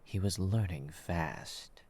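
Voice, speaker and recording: A man with a low voice narrates a piece of fiction. He reads scripted prose as the storyteller of an audio drama. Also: slow pace, a little mellow, dry recording.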